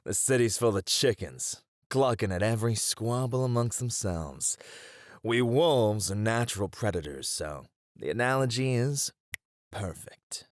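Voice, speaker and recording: Male actor as shrewd gang boss, lower-pitched, very animated intonation, cocky, flirty, theatrical teasing, ASMR roleplay. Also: unhurried, dry recording, clean studio sound. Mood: confident, sly.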